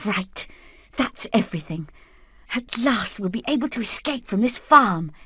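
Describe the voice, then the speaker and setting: A woman's voice: mid-range voice, expressive, measured pace, bright, forceful tone, breathy edge, no echo, clean studio sound, full character voice. A woman voicing a determined hen leader in a video game, earnest and purposeful. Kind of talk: animated video game acting.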